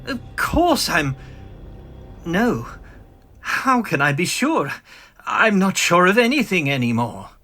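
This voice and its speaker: An embittered little mad scientist in a melodramatic audio drama. The voice is fairly high and sharp-edged, halting and pleading as it tells the tale, with faint music underneath. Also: male voice, big pitch swings, exaggerated voice. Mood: distressed, pleading. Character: small, bitter, frantic mad scientist, male professor.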